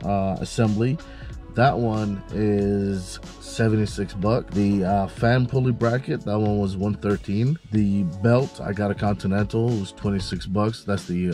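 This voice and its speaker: A young man from Mississippi, congested and nasal, chatting casually to camera from a parked car as host of his channel. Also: lowish voice. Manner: relaxed, chatty, many fillers. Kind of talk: casual vlog talk to camera. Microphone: camera on dashboard.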